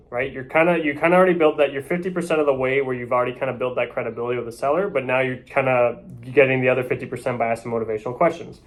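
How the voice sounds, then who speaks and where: A man who coaches others in real estate sales, talking quickly and freely as if straight off the top of his head. His pitch sits in the middle range for a man. He keeps checking in with his listeners with a quick "right?" after his points. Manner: loose, off-the-cuff, often ends points with "right?". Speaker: real estate agent teaching door knocking. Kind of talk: casual sales coaching talk.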